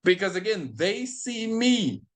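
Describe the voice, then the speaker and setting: Man, 20s, American accent, slightly high voice, animated storyteller, video call guest. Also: unhurried. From United States. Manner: animated, repeats and restarts phrases while telling a story. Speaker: podcast guest. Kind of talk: storytelling in a remote interview.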